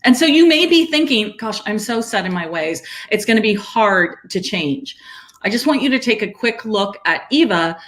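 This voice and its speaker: A woman's voice: medium pitch, expressive, normal speed. A woman in her fifties co-hosting a webinar, talking to viewers in an upbeat, persuasive way. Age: 50s. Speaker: webinar co-host. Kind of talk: promotional webinar chat.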